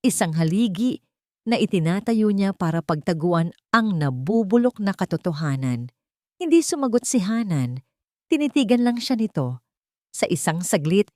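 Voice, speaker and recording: A woman's voice: medium pitch, big pitch swings, normal speed, a little mellow, no echo, studio quality. A woman with a Filipino accent narrating a dramatic story from a script. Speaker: audiobook narrator.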